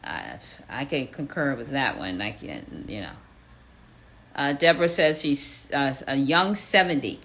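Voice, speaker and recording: A woman in her fifties with a low, bright voice hosts a live room. She talks in animated swoops and repeats her questions with emphasis. She reads out what listeners write and asks them to reply. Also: measured pace. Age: 50s. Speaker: fitness coach hosting a live audio room. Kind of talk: live audience chat.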